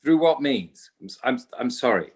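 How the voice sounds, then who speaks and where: An actor playing a defensive company manager giving guarded, evasive testimony in a courtroom drama table read. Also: male voice, mid-range voice, lively intonation, normal speed, husky. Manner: guarded, terse, evasive answers.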